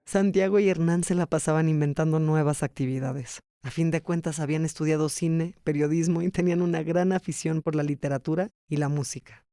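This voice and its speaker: A man's voice: fairly high pitch, fairly even intonation, brisk, hardly any pauses, warm tone, clean tone, dry recording, clean studio sound. A man, reading scripted documentary narration as a podcast host. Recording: music bed behind narration.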